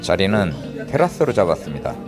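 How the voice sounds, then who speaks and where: Lively low-voiced man narrating over music. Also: moderate pace. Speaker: food review vlog host. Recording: loud music behind the voice.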